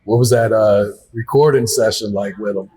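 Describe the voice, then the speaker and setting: A man hosting a casual interview, relaxed, asking brief questions and giving short affirmations. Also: fairly low pitch, normal speed, slightly rough. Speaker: interviewer host.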